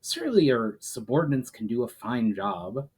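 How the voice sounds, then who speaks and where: A man's voice: medium pitch, very animated, normal speed, a little mellow, breathy edge. A man voicing an agitated, deferential young army officer pleading urgently in a fantasy story. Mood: anxious, deferential.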